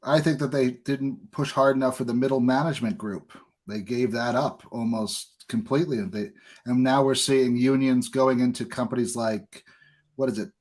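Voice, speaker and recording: Male interviewer, medium pitch. Earnest, discursive podcast talk with firm opinions. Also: fairly even intonation, moderate pace, darkish tone. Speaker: podcast host.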